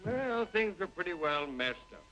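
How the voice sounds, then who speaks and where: An actor playing a folksy American storyteller in an old western drama, with a rustic way of talking. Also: male voice, high voice, very animated, moderate pace, bright, forceful tone, full character voice, rough recording. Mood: earnest storytelling.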